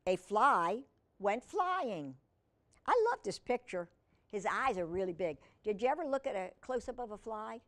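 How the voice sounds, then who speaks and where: A woman's voice: medium pitch, sing-song, measured pace, a bit bright. A woman hosting a children's storytime, reading a picture book aloud expressively and adding chatty asides and questions to her young viewers.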